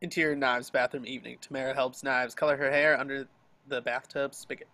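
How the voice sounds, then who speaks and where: A man's voice: mid-range voice, level tone, brisk, bright, forceful tone. A man narrating scripted action and scene headings for an amateur table read. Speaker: stage-direction reader. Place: home, group video call.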